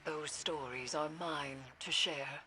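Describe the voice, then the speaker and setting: A woman playing a solemn, haunted mystic in a video game, speaking gravely and portentously. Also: low-pitched, slow pace, pushed and bright, full character voice. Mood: solemn resolve. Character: solemn, haunted mystic woman who endured great loss. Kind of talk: dramatic video game acting. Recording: clear ambient noise behind.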